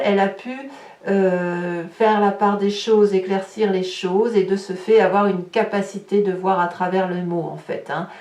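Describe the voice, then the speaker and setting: A woman's voice: medium pitch, mellow. A woman giving an improvised tarot reading to viewers, explaining in a searching way and often rephrasing as she goes. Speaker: tarot reader. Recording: roomy echo, distant microphone.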